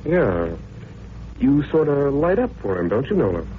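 An actor playing a cynical, hard-drinking newspaper columnist, wry and needling, in 1940s radio drama style. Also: male voice, medium pitch, very animated, moderate pace, close to an everyday voice. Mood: bitter, needling. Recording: tinny, hissy old radio recording.

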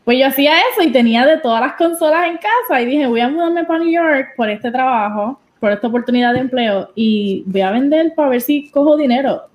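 Young Puerto Rican woman, casual podcast guest, high-ish voice, chatty. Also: lively intonation, a little fast, hardly any pauses, a little mellow. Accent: Puerto Rican Spanish. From Puerto Rico. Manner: chatty, mixes in English words like "so". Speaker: guest streamer.

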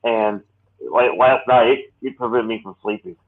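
A man in his late thirties hosting a casual podcast chat, talking in a rambling, halting way with many restarts. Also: mid-range voice, steady tone. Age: late 30s. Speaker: podcast host. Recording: tinny phone-like line.

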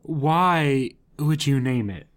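Woman playing Siobhan, stern, slow-spoken, exasperated. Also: a bass voice, animated, exaggerated voice. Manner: blunt, stern. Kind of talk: scripted amateur audio drama acting.

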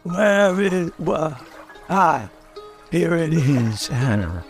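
A man's voice: a little high, big pitch swings, extremely slow, soft background music, full character voice. An actor playing a fussy, reluctant agent and unwilling sidekick in a radio mystery, whining and dithering as he goes. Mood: flustered, grumbling. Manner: whiny, grumbling, dithering. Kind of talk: old-time radio comedy-mystery acting.

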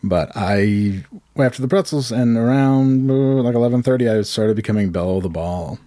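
A man with a hoarse voice, telling rambling self-deprecating stories in casual chat. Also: fairly low pitch, moderate pace, mellow, dry recording, clean studio sound. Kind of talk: casual comedic storytelling.

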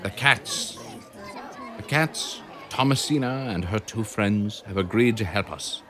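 A man voicing a brave male hamster who speaks in an earnest, calm and firm way. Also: lowish voice, a little slow, crowd noise, voice pushed up, lightly acted. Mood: rallying.

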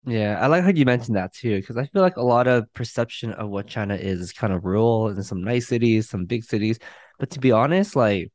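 A man's voice: fairly low pitch, a little fast, a little mellow, no room sound. Upbeat male podcast host. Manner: friendly, upbeat, with many "like" fillers. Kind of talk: casual interview hosting.

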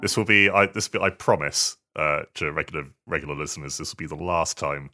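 Deep, bright-voiced man, halting delivery. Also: faint music behind. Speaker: podcast co-host, games hardware reviewer. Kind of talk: casual tech review chat.

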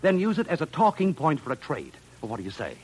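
A cynical, quick-thinking scientist in a vintage 1950s science fiction radio play. The voice sits a little high for a man, and the delivery is blunt and sardonic. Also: huge pitch swings, darkish tone, slightly rough, heavily acted. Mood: excited, scheming. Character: cynical, quick-thinking male scientist, scheming space traveler. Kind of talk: 1950s radio drama acting.

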